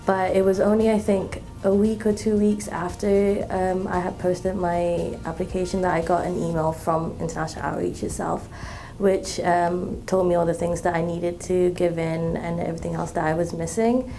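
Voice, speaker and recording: A woman in her twenties from Malaysia, a student talking in an interview, relaxed, with many ums and restarts. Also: lowish voice, moderate pace, faint music behind. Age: 20s. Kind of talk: interview testimonial.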